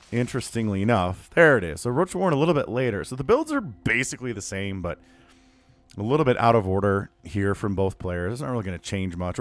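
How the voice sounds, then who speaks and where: A man giving solo play-by-play commentary on a strategy video game, casual and excitable, his voice still a little rough from recovering. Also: fairly low pitch, dry recording. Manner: casual, excitable, rises to yelling at big fights. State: voice still recovering, cracking at times. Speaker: StarCraft caster.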